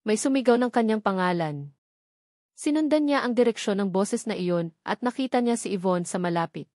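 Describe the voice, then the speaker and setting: A woman's voice: mid-range voice, animated, normal speed, darkish tone, no room sound, clean studio sound. A woman reading a scripted story as an audiobook narrator.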